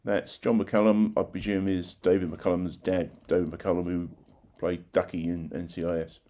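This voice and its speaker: Local history presenter: older male, fairly low voice, plain-spoken and conversational. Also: flat delivery, moderate pace, dark tone. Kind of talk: informal narration of old newspaper clippings.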